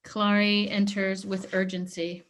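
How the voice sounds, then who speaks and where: A woman's voice: mid-range voice, little pitch movement, a little slow. A woman reading stage directions as scripted narration for a play.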